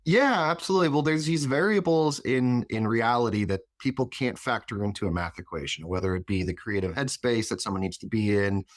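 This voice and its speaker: A man speaking as an interview guest in a reflective, explanatory way, phrasing his thoughts in a measured manner. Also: mid-range voice, no echo, studio quality. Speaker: interview guest, chief of staff.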